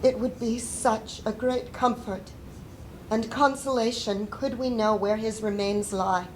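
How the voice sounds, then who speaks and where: A devout, mournful mother of the Civil War era , played by an older woman, reads her letter aloud on stage. Her voice is fairly high and very slow, solemn and deliberate with grief. A slight rumble sits behind her. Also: steady tone, close to an everyday voice, rough recording. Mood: grieving. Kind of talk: dramatic letter reading. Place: indoor stage before a projection screen.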